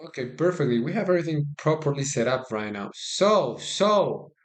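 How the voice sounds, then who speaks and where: A man from Colombia with a Colombian Spanish accent, whose first language is Spanish, gives casual live-stream chess commentary. He is chatty and halting, repeats words, and talks to his viewers, recorded on a phone. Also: fairly high pitch, sing-song.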